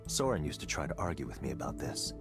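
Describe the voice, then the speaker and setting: A man playing a confident, coldly intellectual tech executive in a visual novel, lecturing in a deliberate, persuasive way. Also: extremely low pitch, sing-song, music in the background. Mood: smug, dismissive.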